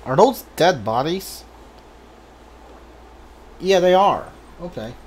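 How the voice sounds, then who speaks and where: A man's voice: sits high, lively intonation, takes it slowly, husky. A man giving casual playthrough commentary as a gaming streamer, hesitantly thinking aloud.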